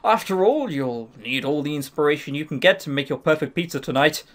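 A man's voice: on the high side, lively intonation, a little fast, fairly bright tone. A man reading a visual novel aloud and mocking it with sarcastic comments, as a let's play host.

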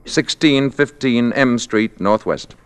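An actor playing a calm, polite alien visitor posing as a gentleman, with measured, precise diction in 1950s radio drama style. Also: medium pitch, smooth, natural voice. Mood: calm, assured. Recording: vintage 1950s radio broadcast.

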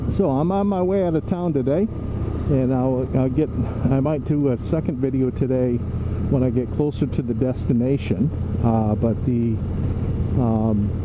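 A middle-aged American man records a casual motorcycle vlog out on the road. His voice is mid-pitched and his delivery is halting, with many pauses. Loud wind and engine noise runs behind everything he says. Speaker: motorcycle vlogger. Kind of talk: casual unscripted talk while riding. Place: outdoors, riding a motorcycle on roads.

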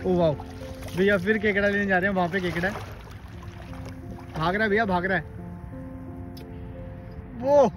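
A man vlogging while wading along a shore at night, chatting excitedly and a little nervous, filmed on a phone. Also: high-pitched, normal speed, pauses often, faint music behind. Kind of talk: casual vlog commentary.

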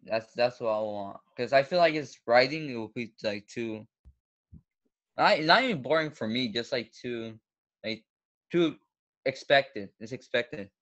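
A man in a casual group discussion, searching haltingly for his words. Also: medium pitch, lively intonation, fairly bright tone. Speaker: student. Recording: dull webcam audio.

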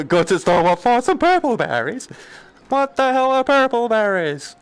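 Animated, slangy man streaming a game. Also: sits high, measured pace. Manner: slangy, sweary, joking. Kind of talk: casual live-stream gameplay chat. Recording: clear background noise.

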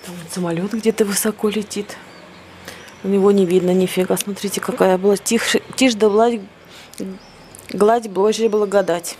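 A woman in her forties, a little hoarse, chatting casually to her phone camera at home on her balcony. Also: mid-range voice, steady tone, normal speed, a little mellow, a little hiss or hum. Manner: chatty, cooing to her pet. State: slightly hoarse. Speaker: vlogger. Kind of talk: casual vlog chat to camera. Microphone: handheld phone camera.

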